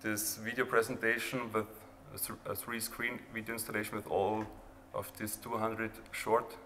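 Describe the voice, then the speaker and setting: A man with an Austrian accent, a native German speaker, giving an informal, halting presentation of his own art project. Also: on the low side, level tone, sharp tone. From rural Austria near the Hungarian border. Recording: reverberant hall, background noise.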